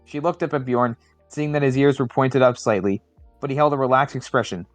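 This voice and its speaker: A man's voice: medium pitch, brisk, no room sound, faint music behind. A man doing a casual amateur reading of a story, repeating and correcting misread words as he goes. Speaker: reader of fan fiction on a livestream.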